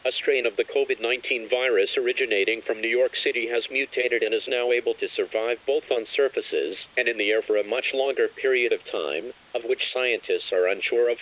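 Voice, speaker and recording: A man's voice: medium pitch, fairly quick, few pauses. A man reading a scripted emergency broadcast announcement in a formal, impersonal, synthetic-sounding way. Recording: tinny, phone-like narrow-band alert broadcast.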